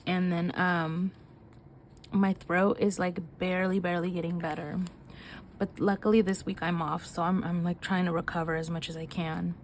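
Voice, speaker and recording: Woman, nasal, short of breath, lowish voice, counts cash aloud, faint room noise. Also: level tone. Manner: counts bills aloud in quick chatty bursts. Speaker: budgeting vlogger. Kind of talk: casual chat while counting cash.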